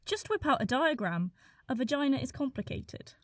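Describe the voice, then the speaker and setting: Opinionated young woman, played by an actress, emphatic, blunt, lively high voice, radio play dialogue. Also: moderate pace, dry recording, clean studio sound. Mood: confident.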